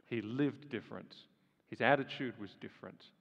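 A man preaching a sermon, firing rhetorical questions and swinging from loud emphasis to hushed lines. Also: medium pitch, normal speed, creaky, lo-fi sound. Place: large church hall. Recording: long reverb of a large hall.

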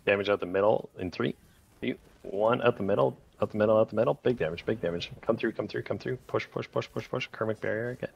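A man leading a raid group over voice chat, calling short commands in rapid repeated bursts with countdowns. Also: lowish voice, vocal fry, husky, muffled recording.

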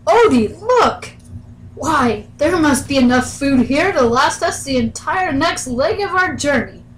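A woman voicing an eager, hungry sailor rabbit in a puppet story, excitable and urgent. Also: sits high, measured pace, pitched above the performer's own voice, rough recording. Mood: excited, urgent.

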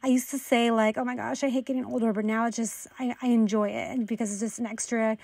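A woman's voice: fairly high pitch, level tone, quick pace, a little mellow. A woman in her late thirties chatting casually as a lifestyle vlogger while she works in her kitchen, picked up by a camera on the counter. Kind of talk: casual vlog chat while cooking, answering viewer questions. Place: home kitchen, indoors. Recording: echoey kitchen with clear background noise.

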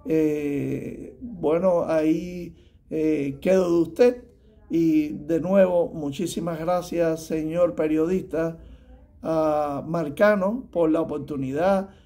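A man in his fifties with a Cuban Spanish accent, a tax preparer giving halting advice to the camera from a small office, with many fillers and restarts. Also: fairly high pitch, steady tone, warm tone. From Cuba. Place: small office room indoors.

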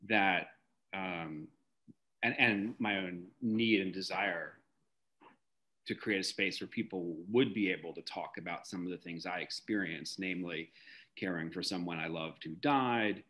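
A middle-aged man giving reflective interview answers in a searching way, pausing often mid-thought on a video call. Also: low-pitched, a bit bright. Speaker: interview guest, theater director. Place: indoor room at home, video call.

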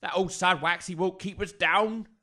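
A man acting as a working-class English villager in a comic audio drama. He is a defiant, grumbling husband with a piercing, slightly high voice. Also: steady tone, moderate pace, pushed and bright. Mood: reassuring. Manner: grumbling, colloquial.

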